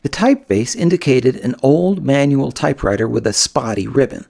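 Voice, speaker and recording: A man reading first-person audiobook narration in an expressive, dramatic storytelling manner. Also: medium pitch, normal speed, vocal fry.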